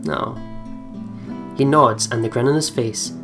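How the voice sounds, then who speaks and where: A woman let's player reading game narration aloud and commenting on it, expressive and giggly. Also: rumbling low, unhurried, gravelly. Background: game music.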